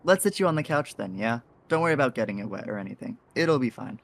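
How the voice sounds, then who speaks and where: An actor playing a kindly, soft-hearted faceless figure in an audio drama, with an easygoing and reassuring way of talking. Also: mid-range voice, expressive, quick pace, vocal fry.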